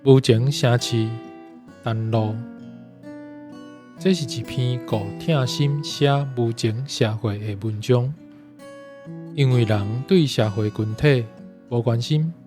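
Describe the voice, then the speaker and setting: Slow, reflective Taiwanese-accented male reader. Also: medium pitch. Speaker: book club reader sharing reflections. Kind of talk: scripted reflective reading. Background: soft plucked guitar music.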